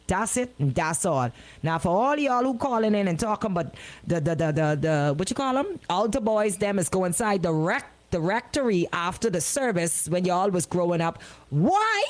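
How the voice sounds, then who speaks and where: A woman radio host giving an opinionated monologue in an indignant, emphatic, colloquial way, repeating words for effect. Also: medium pitch. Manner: colloquial, folksy phrasing.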